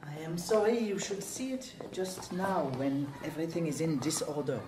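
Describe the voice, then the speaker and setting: An actress playing a gracious, gently reproving French Mother Superior in a radio drama, speaking formally and with measured courtesy. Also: sits low, noisy background, natural voice. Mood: earnest, courteous.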